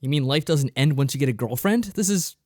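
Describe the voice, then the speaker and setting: A man's voice: medium pitch, lively intonation, brisk, a little mellow, dry recording. Laid-back man, dry close recording. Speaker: podcast co-host. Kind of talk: casual chat.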